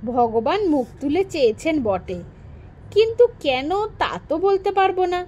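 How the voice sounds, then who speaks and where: A woman's voice: high voice, very animated, a little slow, warm tone, muffled recording, a little hiss or hum. A woman storyteller playing a simple, good-natured village farmer man who talks in a plain, unworried, folksy way. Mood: cheerful, humble.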